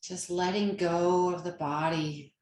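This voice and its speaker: A woman leading a guided meditation as a yoga nidra teacher, speaking gently and soothingly with long pauses. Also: fairly low pitch, steady tone, roomy sound.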